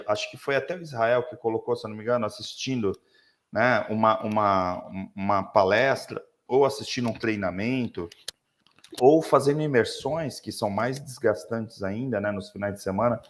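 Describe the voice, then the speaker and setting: A man with a Brazilian Portuguese accent, hoarse, talking reflectively about leadership on a live webinar with many tag questions. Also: medium pitch, moderate pace. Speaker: leadership speaker.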